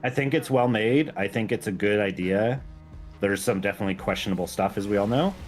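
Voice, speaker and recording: A man's voice: lowish voice, fairly quick, faint music behind. A man talking casually and enthusiastically on a gaming stream, explaining game details in a rambling way. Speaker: game mod creator guesting on a stream.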